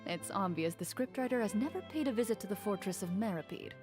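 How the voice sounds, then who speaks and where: An actress playing a composed, formal young woman in an anime video game, measured and polite as she leads the group. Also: mid-range voice, animated, over music, natural voice. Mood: calm, poised.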